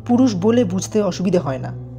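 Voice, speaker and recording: A man narrating an audio story in a dramatic, suspenseful and expressive way. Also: high-pitched, moderate pace. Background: background music.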